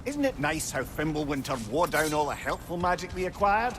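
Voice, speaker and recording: A man voicing a talkative, wise and excitable old companion in a video game, with wry, colourful, old-fashioned phrasing. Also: on the high side, fairly quick, very noisy, close to an everyday voice. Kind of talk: dramatic video game acting.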